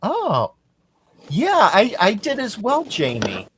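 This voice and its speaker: A man with a calm, soothing voice chatting casually and self-deprecatingly as he co-hosts a craft livestream. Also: high-pitched, slow, slight background noise. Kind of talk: casual chat while crafting.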